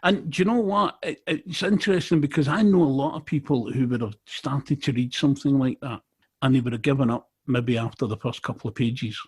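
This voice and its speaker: A man's voice: medium pitch, a little fast, darkish tone, breathy edge. A middle-aged man from Glasgow with a Glaswegian Scottish accent, a native Scots speaker, chatting casually as a podcast interviewer. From Glasgow, Scotland.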